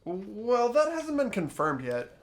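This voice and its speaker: A performer voicing an earnest, uneasy teenage schoolboy who narrates an investigation in a visual novel game, hesitant and expressive. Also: mid-range voice, slow pace, heavily acted. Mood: pondering, startled.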